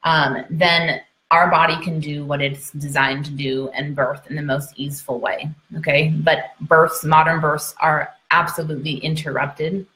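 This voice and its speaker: A woman's voice: low-pitched, level tone, measured pace, pushed and bright. A woman giving an informal educational talk to an online audience, emphatic, repeating key points and checking in as she goes. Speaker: speaker, midwife and trainer. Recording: roomy, echoey sound.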